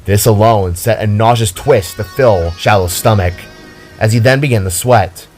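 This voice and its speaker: A man's voice: on the low side, normal speed, darkish tone, faint music behind. A man reading scripted fiction as a dramatic story narrator.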